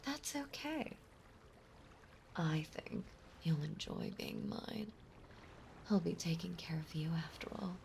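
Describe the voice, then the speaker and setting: Woman voicing a sweetly obsessive mermaid, lowish creaky voice, soft cooing delivery, intimate audio roleplay, faint ocean ambience. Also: sing-song, takes it slowly, long pauses, full character voice. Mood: sweetly possessive. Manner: soft, breathy, cooing, lingering on words. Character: possessive, clingy yandere mermaid, sweetly obsessive young woman. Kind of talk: intimate ASMR roleplay acting. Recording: faint background noise, water ambience. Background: ocean ambience sound effects.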